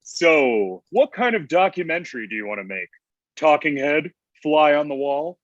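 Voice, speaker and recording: A man's voice: fairly high pitch, big pitch swings, moderate pace, dull audio. A man playing a grumpy, ancient Santa Claus in a comedy table read, with a blunt, testy, conversational manner. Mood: chatty.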